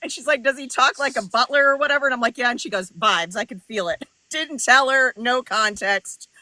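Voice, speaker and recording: A woman co-hosting a casual online panel chat as an author, animated, laughing and swearing freely. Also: on the high side, a little fast, no echo, rough recording. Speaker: author and co-host.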